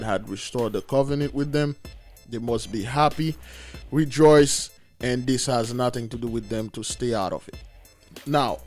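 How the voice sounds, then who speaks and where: A raspy man preaching in an emphatic commentary, pressing hard on key words. His pitch sits mid-range for a man, and faint music plays underneath. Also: darkish tone. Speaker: religious video host.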